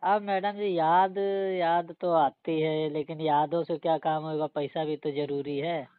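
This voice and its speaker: A man's voice: fairly high pitch, little pitch movement, measured pace, clean tone, dry recording, lightly acted. A humble security guard from a village played by a male actor talks in plain rustic phrases. He is deferential and hesitant, chatting as if on a phone call. The sound is dull and very dry. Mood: plain, resigned. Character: humble married village man working as city security guard. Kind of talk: staged casual phone conversation.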